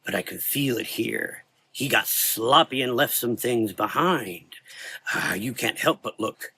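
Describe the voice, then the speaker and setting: A man playing a menacing, supernatural dark hunter in a gothic horror audio drama, speaking slowly and deliberately with cold, sneering contempt and quiet rage. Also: mid-range voice, lively intonation, a little hiss or hum. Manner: slow, deliberate, coldly contemptuous.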